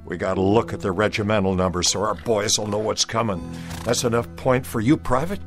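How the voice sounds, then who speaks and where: An actor playing a stern, resolute Confederate cavalry soldier in a radio drama, with a folksy, commanding Southern US accent. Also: male voice, lowish voice, brisk, soft background music, natural voice.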